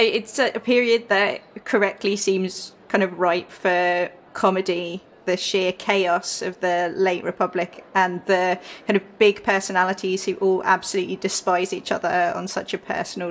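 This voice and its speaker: A woman's voice: on the low side, level tone, moderate pace. A woman historian talking casually as an interview guest.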